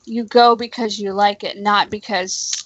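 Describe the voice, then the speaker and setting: A woman's voice: mid-range voice, steady tone, a little slow, a bit bright, dry recording, poor audio. A woman in casual conversation on a talk show, giving her opinions in an informal, emphatic way.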